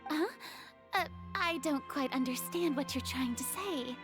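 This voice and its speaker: A woman's voice: high voice, unhurried. An actress voicing a flustered, theatrical and evasive young woman in a video game. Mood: nervous, startled. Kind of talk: animated game voice acting. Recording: music behind the voice.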